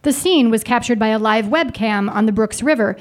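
A woman's voice: a little high, fairly quick. A woman reading a scripted news report. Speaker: news reader.

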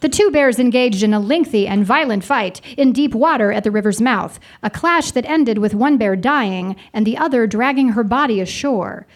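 A woman's voice: on the high side, moderate pace, hardly any pauses. A woman reading a scripted news report. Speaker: news reader.

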